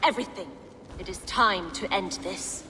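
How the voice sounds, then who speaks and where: An actress playing a cold, fanatical abbess villain in a video game, imperious, measured and menacing. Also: female voice, medium pitch, pushed and bright, a little hiss or hum, lightly acted. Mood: menacing, commanding. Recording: long hall reverb, music behind.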